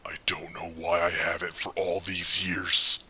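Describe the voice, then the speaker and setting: A brooding, world-weary vigilante hero for an audio drama. The low voice is forceful and raspy, held nearly flat. Each phrase comes slowly and gravely. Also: male voice, heavily acted. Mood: bitter, anguished. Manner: slow, grave, intense.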